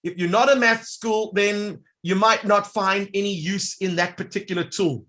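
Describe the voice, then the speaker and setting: Guy running an online teacher workshop, super high voice, bright and chirpy, hypes up each demo step. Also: fairly even intonation, moderate pace. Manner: upbeat, showman-like, talks to audience. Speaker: workshop presenter. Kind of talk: live online software demo.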